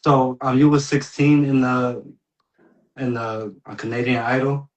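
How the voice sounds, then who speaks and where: A man hosts a relaxed podcast interview in an ordinary mid-range voice. He feels his way through questions with lots of "um" and "like" and drops easygoing slang. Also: medium pitch, highs cut off. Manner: loose, halting, slangy with many "um"s. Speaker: podcast host. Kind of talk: casual interview.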